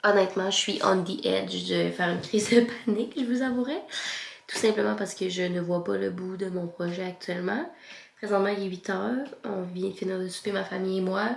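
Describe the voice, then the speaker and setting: A woman's voice: mid-range voice, steady tone, a little fast, gravelly, roomy sound. A young Québécoise woman vlogging casually about her studies at home, chatty, slipping English words into her French, stuffed up with a cold. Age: young adult. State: congested, sore throat. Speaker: vlogger, student. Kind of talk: casual study vlog talk to camera.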